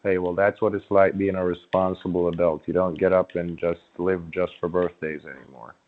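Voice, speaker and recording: A man with a low voice comes through a thin, band-limited phone connection. He talks like a conversational phone salesman, agreeable and coaxing, with hesitant pauses between phrases. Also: steady tone. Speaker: phone caller pitching a sweepstakes prize. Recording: muffled, narrow-band phone call.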